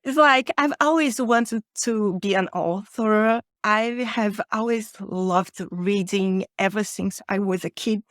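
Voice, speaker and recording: A woman's voice: medium pitch, takes it slowly, hardly any pauses, a bit bright, no echo, studio quality. A woman from Brazil with a Brazilian accent and Portuguese as her native language, talking conversationally as a guest in an interview. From Rio de Janeiro, Brazil. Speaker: podcast guest, author and podcaster.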